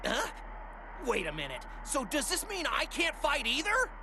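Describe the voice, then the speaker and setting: A man voicing an anxious, loyal everyman fighter in an anime video game, expressive and hesitant, over music. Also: extremely high pitch, normal speed, noisy background, exaggerated voice. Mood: worried. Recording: loud music behind the voice.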